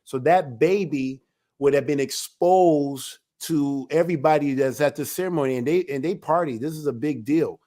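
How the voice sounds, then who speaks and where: A man, an elder of a ministry, giving a religious teaching talk in an emphatic, animated way. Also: fairly high pitch, moderate pace. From United States.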